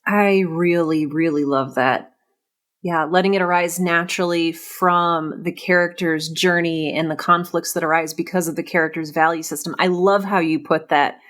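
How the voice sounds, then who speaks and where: A woman's voice: fairly low pitch, fairly even intonation, normal speed, fairly bright tone. A woman hosting a casual podcast interview, effusive and reflective, often thinking aloud as she talks. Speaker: podcast host interviewing a writer.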